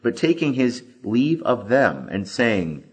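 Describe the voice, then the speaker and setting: A man reading scripture as scripted audiobook narration, with expressive, deliberate emphasis. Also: medium pitch, slightly rough. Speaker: scripture reader.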